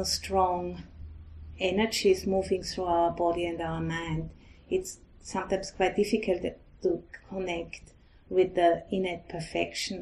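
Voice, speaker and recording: A middle-aged woman from Austria with an Austrian German accent, a Buddhist nun giving a gentle, halting dharma talk. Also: fairly low pitch, low-quality recording. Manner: gentle, hesitant, halting with restarts.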